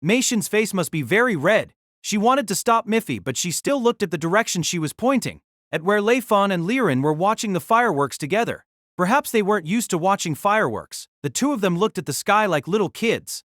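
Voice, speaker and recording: Woman narrating, expressive range, dry sound. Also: medium pitch, a little fast, dry recording. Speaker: narrator and reader. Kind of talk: scripted audiobook narration of a light novel.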